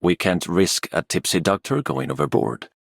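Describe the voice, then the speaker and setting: A man playing a gruff, protective ship's crewman in a video game, dry and grudgingly caring. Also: deep voice, very animated, moderate pace, no room sound, heavily acted. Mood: wry, concerned. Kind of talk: video game character voice acting.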